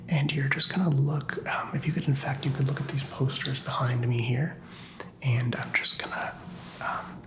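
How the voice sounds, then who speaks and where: A man speaking in a soft, breathy whisper, playing a doctor in an ASMR medical exam, gentle, halting and reassuring. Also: medium pitch. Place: small doctor's office.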